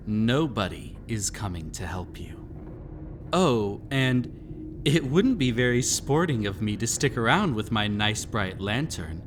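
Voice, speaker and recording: A man's voice: mid-range voice, fairly bright tone, a little hiss or hum. A man playing a sinister, centuries-old master of a haunted estate in a dramatic audio roleplay, teasing and taunting theatrically. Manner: teasing, taunting, theatrical, with frequent sly chuckles.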